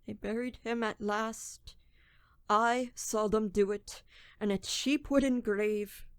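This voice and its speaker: A woman's voice: mid-range voice, steady tone, clean tone, no echo, clean studio sound, close to an everyday voice. A woman playing a guilt-ridden, tormented young thief in a radio drama, acting scenes and narrating in the first person. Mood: brooding narration. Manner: tormented, brooding, deliberate.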